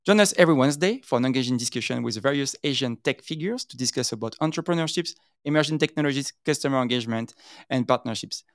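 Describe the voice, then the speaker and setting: A man speaks as the host of an interview show. His voice sits at a medium pitch and is conversational in delivery. The sound is dry, with very little room in it. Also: quick pace, no echo.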